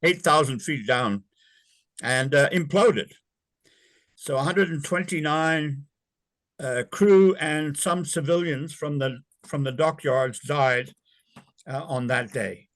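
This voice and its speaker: A man's voice: on the high side, fairly bright tone, no echo. Older man, interview guest, rambling stop-start delivery, dry close sound. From born in England, raised in Canada. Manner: halting, with many fillers and restarts. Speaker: interview guest, former navy sailor. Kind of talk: casual recollection in an interview.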